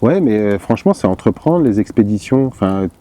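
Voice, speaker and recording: A man hosting a casual podcast, asking questions in a relaxed, hesitant way. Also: on the low side, brisk. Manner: relaxed, hesitant, lots of fillers. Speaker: podcast host.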